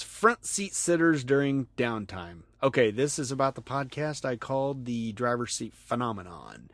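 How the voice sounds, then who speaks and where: A man's voice: lowish voice, a bit bright, dry recording. A middle-aged man hosting a podcast, casually reading listener emails aloud and chatting about them, laughing often. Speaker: podcast host.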